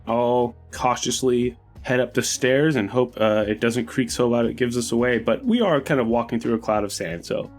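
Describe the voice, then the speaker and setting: Tabletop player, male, medium pitch, casual conversational delivery, backed by music. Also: moderate pace, hardly any pauses. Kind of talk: casual game-table talk. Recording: music under the voice. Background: background music.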